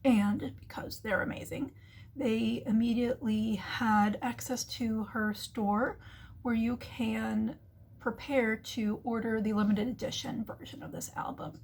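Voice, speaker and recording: Slightly husky, higher-pitched woman explaining casually. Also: fairly even intonation, a little slow, darkish tone, low-quality recording. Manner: chatty, acts out imagined remarks in little quoted voices. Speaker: host of a marketing commentary show. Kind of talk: casual explanatory business commentary.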